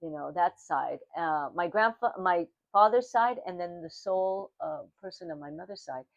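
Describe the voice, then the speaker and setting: An older woman whose first language is Armenian, giving oral history testimony in a reflective, halting way, often restarting her sentences. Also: on the low side, lively intonation, creaky, no echo. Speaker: interviewee. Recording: dry, dull lo-fi recording.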